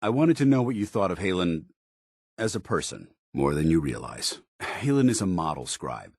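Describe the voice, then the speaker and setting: An actor playing a stern, earnest, by-the-book soldier in a video game, speaking in a formal, measured military way. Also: male voice, deep voice, big pitch swings, a little mellow, husky, no room sound, clean studio sound, heavily acted. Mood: earnest, guarded. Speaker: companion character in a video game. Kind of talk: scripted game dialogue.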